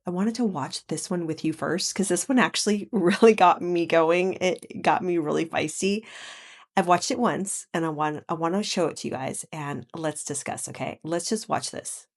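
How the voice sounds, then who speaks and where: A woman with a very low voice talks straight into a microphone while lying in bed at home. Her throat is sore, so her voice comes out scratchy and froggy. She chats casually with her viewers, turning indignant as she vents. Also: normal speed. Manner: chatty and indignant. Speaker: channel host. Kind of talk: casual commentary vlog. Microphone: microphone with laptop.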